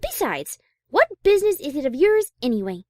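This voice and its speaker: A woman acting a haughty, easily exasperated high-class pony in a fan audio drama, prim and wordy. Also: sing-song, a little fast, dry recording, clean studio sound. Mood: indignant.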